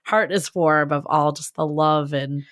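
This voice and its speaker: A woman co-hosting a dating podcast, chatting casually with a hoarse, raspy voice and lots of laughs and fillers. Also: sits low, steady tone, measured pace, a bit bright. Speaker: podcast co-host. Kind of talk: casual chat and read ad.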